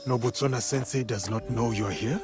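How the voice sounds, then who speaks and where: A man playing a solemn, gentle warrior in a historical game drama, speaking earnestly and in a measured way. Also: medium pitch, soft background music, close to an everyday voice. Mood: respectful. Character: solemn, gentle, dutiful male warrior in feudal Japan. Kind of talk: restrained dramatic game cutscene acting.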